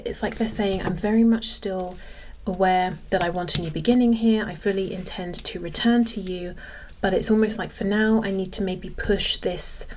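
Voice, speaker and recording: Female tarot reader, mid-pitched, conversational and off-the-cuff, with faint background noise. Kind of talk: casual tarot reading, thinking aloud.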